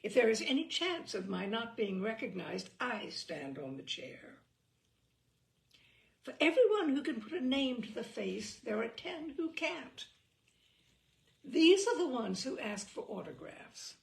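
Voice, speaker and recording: Creaky voiced lady reading a witty essay out loud, kinda theatrical, pitch jumps around, roomy. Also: very animated, a little slow. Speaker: host and reader. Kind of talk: expressive literary reading of a humorous essay. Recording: roomy echo, distant microphone.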